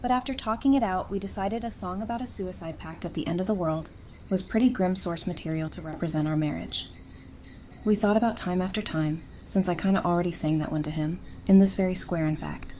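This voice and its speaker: A woman's voice: on the low side, fairly quick, warm tone, close to an everyday voice. Woman playing casual, playful bride, faint background noise. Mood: playful, affectionate. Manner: casual, wry, conversational. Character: Talissa, easygoing, playful bride and musician. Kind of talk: audio drama acting. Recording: faint outdoor night ambience noise.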